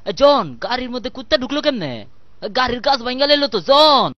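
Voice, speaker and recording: A man's voice: very high-pitched, sing-song, a little fast, dry recording, dull audio. A man with a Bangladeshi accent voicing a sly, lazy, grumbling cartoon cat in a colloquial comic dub. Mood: indignant. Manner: exclamatory, mocking, complaining.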